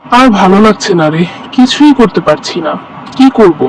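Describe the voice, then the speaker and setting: A man's voice: high-pitched, lively intonation, normal speed, poor audio. A man voicing an earnest, dutiful young village son in a cartoon story. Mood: dejected.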